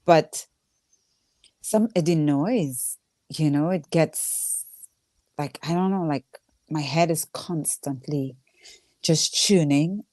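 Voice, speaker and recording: Low-voiced Trinidadian woman, candid and conversational. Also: takes it slowly, pauses often, mellow, no room sound. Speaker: stand-up comedian, interview guest. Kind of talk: casual conversational interview.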